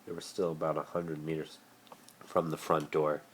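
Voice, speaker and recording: A man's voice: extremely low pitch, flat delivery, moderate pace, slight background noise. A man doing an amateur dramatic reading of a story, stumbling over words and correcting himself, recorded on a phone. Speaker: amateur reader of fan fiction.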